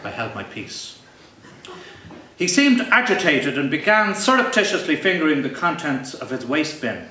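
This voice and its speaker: Pompous deadpan man reading in a roomy venue. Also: a little high, normal speed. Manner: pompous, deadpan, mock-grandiose. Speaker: author reading from his novel. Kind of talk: live comic literary reading. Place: live event venue. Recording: roomy, distant live recording with faint noise.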